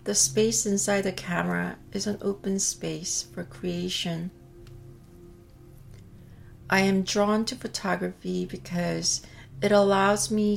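A middle-aged woman from South Korea whose first language is Korean, speaking as an artist in calm, measured reflective narration. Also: medium pitch, gravelly. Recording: faint music behind. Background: soft music.

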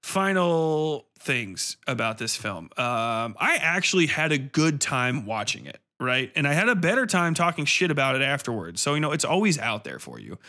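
A man with a bright, forceful voice at a middling pitch hosts a casual review show. He rambles sarcastically and swears freely, hammering some phrases for emphasis. His delivery is irreverent and conversational. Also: sing-song, normal speed, few pauses. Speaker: podcast host reviewing a film. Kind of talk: casual, profane film review monologue.

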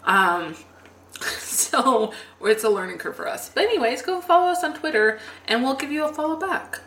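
A young woman co-hosting a casual podcast chat, bubbly and giggly with quick asides. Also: high-pitched, animated, a bit bright, a little hiss or hum. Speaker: podcast co-host. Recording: roomy echo with faint ambience.

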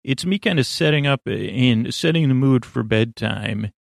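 A man hosting a podcast with a rambling bedtime monologue, halting and meandering, often trailing off mid-word. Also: mid-range voice, lively intonation, gravelly, no echo, studio quality. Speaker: podcast host.

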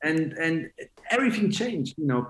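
A man of forty, raised in Switzerland, talking in a relaxed, rambling way as a DJ guest, with many fillers and restarts. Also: on the high side, moderate pace, reverberant. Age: 40s. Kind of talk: casual interview chat.

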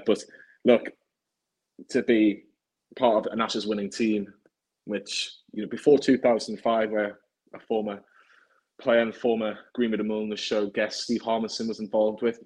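A man's voice: fairly low pitch, little pitch movement, normal speed, a little mellow, husky, rough recording. A young man hosting a football podcast, chatty and teasing with rambling asides. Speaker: podcast host.

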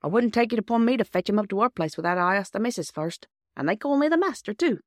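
A woman's voice: medium pitch, animated, quick pace, dry recording, clean studio sound, pitched above the performer's own voice, lightly acted. A woman voicing a practical grown farm bailiff with plain-spoken rustic dialect in a storybook reading. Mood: doubtful.

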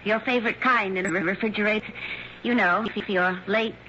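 An actress playing a tired, sweetly attentive wife in a 1960s radio drama, with an airy, solicitous manner. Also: female voice, medium pitch, steady tone, brisk, sharp tone, close to an everyday voice. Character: weary, sweetly attentive wife hiding her deceit. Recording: dull, noisy old radio broadcast.

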